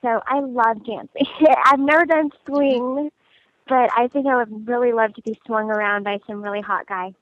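An actress being interviewed over the phone, chatty and bubbly, laughing often. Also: female voice, a little high, steady tone, normal speed. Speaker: actress guest. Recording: tinny phone line.

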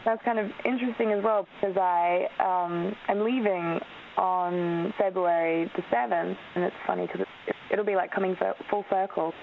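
A woman's voice: medium pitch, fairly even intonation, moderate pace, vocal fry. A young Australian actress in her twenties, whose first language is Polish, chatting casually in an interview with lots of ums and laughs. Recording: tinny phone-like radio line with faint hiss.

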